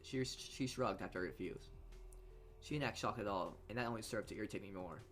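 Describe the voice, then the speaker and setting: A man's voice: fairly low pitch, a little fast, fairly bright tone, soft background music. A man reading visual novel narration aloud as a let's play host, stumbling on words and repeating phrases.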